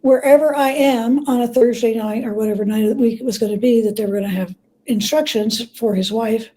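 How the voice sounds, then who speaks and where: Woman, fairly high pitch, warm relaxed timbre, interview guest, reflective storytelling, halting with repeated words. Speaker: guest author and biographer.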